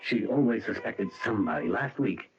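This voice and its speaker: An actor playing an anguished, insistent husband in an old-time radio drama. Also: male voice, on the low side, animated, moderate pace, gravelly. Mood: exasperated, pleading. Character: anguished husband insisting he has relived his past. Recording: dull old radio recording with music.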